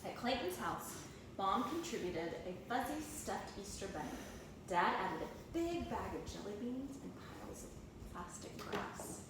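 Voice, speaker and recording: A woman's voice: mid-range voice, sing-song, unhurried. A woman, a teacher, reads a children's storybook aloud in a narrating style. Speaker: second grade teacher reading aloud. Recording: echoey room, distant mic, clear background noise.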